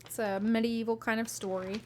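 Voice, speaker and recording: A woman's voice: a little high, flat delivery, fairly quick, a little hiss or hum. A woman streaming live, reading a fantasy novel aloud and chatting with viewers in a friendly, rambling way with frequent ums.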